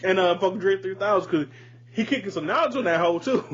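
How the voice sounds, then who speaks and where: A man's voice: high voice, lively intonation, fast, a bit bright. A man co-hosting a podcast, chatting casually about music in a slangy, profane, emphatic way. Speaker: podcast co-host. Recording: dull lo-fi audio, audible background noise.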